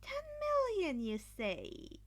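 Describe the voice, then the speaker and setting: A woman's voice: extremely high pitch, huge pitch swings, takes it slowly, voice pushed up, heavily acted. A woman voicing a bubbly, bold teenage girl inventor who exclaims eagerly in an audiobook of fan fiction. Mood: intrigued. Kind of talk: acted fan fiction dialogue.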